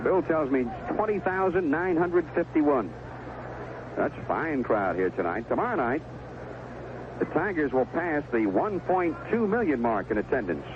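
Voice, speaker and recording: A man's voice: fairly high pitch, highs cut off, noisy background. A man calling a baseball game play by play on a 1970s radio broadcast. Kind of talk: live radio baseball commentary.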